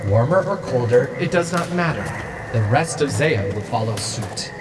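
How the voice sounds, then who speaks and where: An actor performing a haughty spirit of nature in a fantasy audio drama, grand and deliberate. The mid-pitched voice sweeps up and down theatrically and rings in a large reverberant space, set back from the listener over ambient noise. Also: lively intonation, recorded from a distance, close to an everyday voice. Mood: solemn, philosophical. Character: haughty, philosophical nature spirit, disdainful of physical bodies.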